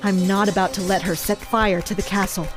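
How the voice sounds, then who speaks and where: A woman's voice: mid-range voice, fairly even intonation, brisk, natural voice. A woman playing a tense, commanding castle fighter in a first-person dramatic narration. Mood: tense, watchful. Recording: music behind the voice. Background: music.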